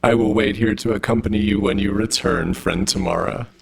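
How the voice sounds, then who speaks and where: Overly friendly robot guy voicing it, super creaky lowish voice, formal and literal, audio drama. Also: fairly even intonation, brisk. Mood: matter-of-fact, helpful. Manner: formal, stilted, literal, avoids contractions.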